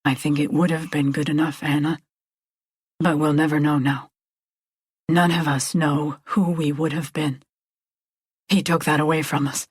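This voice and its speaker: A woman's voice: low voice, little pitch movement, unhurried, long pauses, slightly rough, no room sound, studio quality, pitched below the performer's own voice. A woman voicing a weary, grieving husband and father in an audiobook, firm and exasperated. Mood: weary, resigned.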